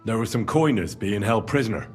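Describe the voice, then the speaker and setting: Low, husky young adventurer. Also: male voice, expressive, a little fast, faint music behind. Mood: matter-of-fact. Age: young adult. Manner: understated, terse, matter-of-fact. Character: young medieval adventurer, plain-spoken, guarded man. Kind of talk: video game cutscene acting.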